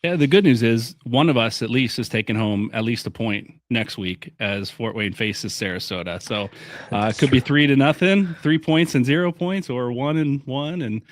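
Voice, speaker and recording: A man's voice: mid-range voice, normal speed, no echo. Upbeat man hosting a soccer podcast. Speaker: podcast host. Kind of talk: scripted intro then casual podcast chat.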